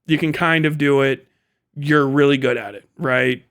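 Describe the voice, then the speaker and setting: A man's voice: mid-range voice, steady tone, moderate pace, fairly bright tone, clear, resonant tone. A man giving casual, opinionated livestream commentary in a blunt, profane, conversational way. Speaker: streamer and commentator.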